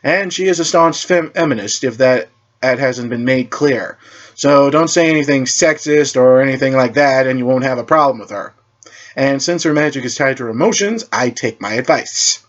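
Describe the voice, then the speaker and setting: A man's voice: medium pitch, moderate pace, muffled recording, close to an everyday voice. A man voicing a stern, exasperated male superhero team leader who lectures earnestly in a story reading. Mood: stern, explaining.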